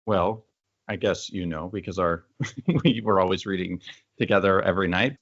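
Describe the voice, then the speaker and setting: Very low-voiced man co-hosting an interview. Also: moderate pace, fairly bright tone, no echo, dull audio. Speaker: interview co-host. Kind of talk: casual interview chat.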